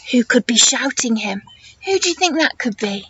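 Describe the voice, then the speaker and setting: A woman teacher reading a story to young children, encouraging and expressive, asking questions and explaining as she goes. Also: high-pitched, moderate pace, breathy edge, no echo, lo-fi sound. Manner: encouraging, expressive, exaggerated intonation for small children.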